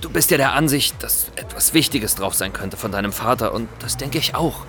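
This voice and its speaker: Careful earnest young guy reporter, medium pitch, some music behind, natural web series talk. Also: expressive, brisk, fairly bright tone. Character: earnest, considerate young male journalist, careful and discreet. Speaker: journalist.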